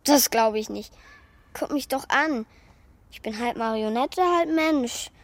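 Small puppet boy, children's audio drama: high pitch, naive, plaintive, earnest. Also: slow pace, no room sound. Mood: sad, ashamed. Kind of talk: children's radio play acting.

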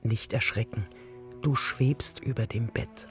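An older woman playing a spirited, affectionate grandmother in a radio play, talking in a lively way. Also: rumbling low, slow pace. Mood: gentle, casual. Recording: reverberant hall, music behind.

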